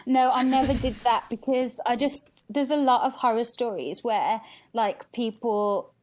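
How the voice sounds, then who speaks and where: A woman's voice: on the high side, measured pace, a little mellow, a little hiss or hum. A woman talking candidly and casually as a podcast guest, chatty and acting out quotes as she tells stories. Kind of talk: candid casual interview chat.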